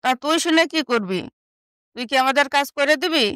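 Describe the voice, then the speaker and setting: A woman voicing a bossy, scheming young sister in an animated moral story, with a scornful, scolding manner. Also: sits high, brisk, dry recording, studio quality.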